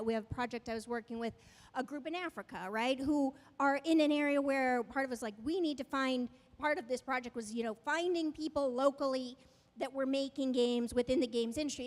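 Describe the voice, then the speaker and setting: Woman, high voice, chatty talk to an audience, reverberant hall. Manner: conversational, many restarts and "right?" tags. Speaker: invited speaker at a game developer meetup.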